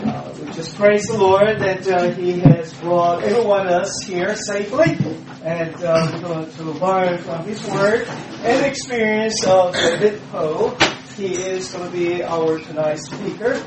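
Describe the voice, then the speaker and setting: A man introducing a church speaker and leading prayer, halting with frequent filler pauses. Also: high-pitched. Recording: echoey, distant, noisy room mic.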